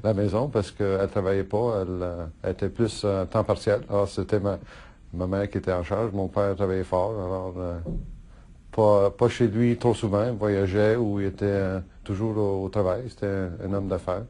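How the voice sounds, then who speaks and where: Hesitant low-voiced man, Italian native. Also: steady tone, normal speed, dark tone. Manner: hesitant, many fillers and restarts, laughs often. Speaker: politician interviewee. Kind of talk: casual interview answers.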